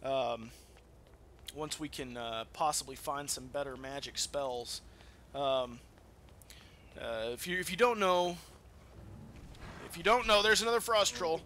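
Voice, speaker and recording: A man's voice: on the high side, a little slow, long pauses, pushed and bright, a little hiss or hum. A man giving casual, rambling, profane commentary as he hosts a gameplay video. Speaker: gamer hosting a let's play. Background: video game ambience.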